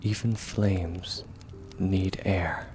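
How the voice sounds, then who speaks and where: A man voicing a kind, steadfast young warrior in a comfort ASMR roleplay, speaking gently and reassuringly in a measured, often hushed way. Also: low-pitched, steady tone, slight background noise. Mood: soothing, earnest.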